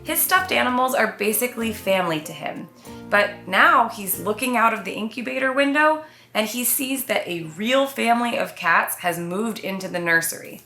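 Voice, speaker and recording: A woman with an enthusiastic, expressive delivery, presenting and reading a children's book aloud. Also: medium pitch, gravelly. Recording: echoey room, faint music behind.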